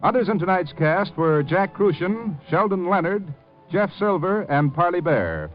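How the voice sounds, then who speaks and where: Man, vintage radio announcer, animated intonation, scripted credits, dull old recording, music behind. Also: high voice, measured pace. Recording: muffled old 1950 radio broadcast with music.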